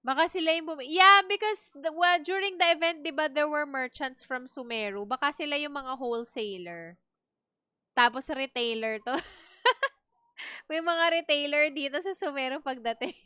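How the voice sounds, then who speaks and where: A woman's voice: high voice, normal speed, sharp tone, no room sound, studio quality. A woman with a Filipino accent reacting casually to a game as she livestreams it. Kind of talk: casual reactions to gameplay, code-switching.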